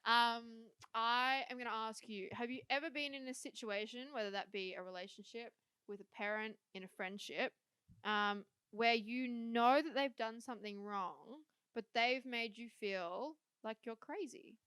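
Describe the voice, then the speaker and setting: A woman's voice: a little high, measured pace, fairly bright tone, clear, resonant tone, dry recording. A young woman co-hosting a casual podcast, chatty, swearing freely and using lots of fillers. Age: young adult. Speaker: podcast co-host. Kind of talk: casual chat.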